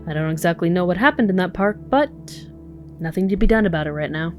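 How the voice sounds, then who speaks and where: A woman's voice: lowish voice, expressive, quick pace, soft background music, lightly acted. A woman playing a curt, exasperated, hard-nosed police detective in an audio drama, blunt and biting. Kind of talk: dark superhero audio drama acting.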